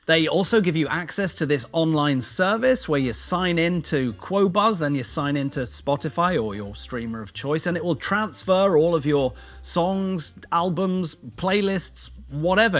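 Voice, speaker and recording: A man's voice: on the high side, fairly bright tone. A British man hosting a casual tech review vlog, chatty and self-deprecating, with jokey, slangy asides. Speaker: YouTube video host.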